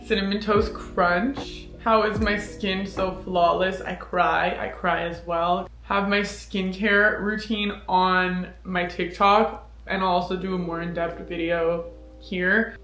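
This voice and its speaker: A woman's voice: mid-range voice, pushed and bright. Chatty woman in her twenties, roomy echo. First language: Farsi. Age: 20s. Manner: chatty, candid, laughs often and swears casually. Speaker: vlogger. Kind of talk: casual Q&A vlog to camera. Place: small apartment living room, indoors. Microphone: camera in front, seated framing. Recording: echoey room with music behind.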